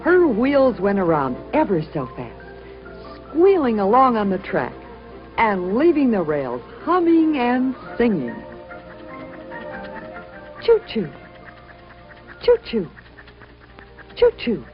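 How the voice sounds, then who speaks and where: A woman tells a children's story with lively swings of pitch and dramatic emphasis. She takes her time over the words. The sound is dull and lo-fi, with clear music behind her. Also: slow pace, long pauses. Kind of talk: expressive scripted children's story narration.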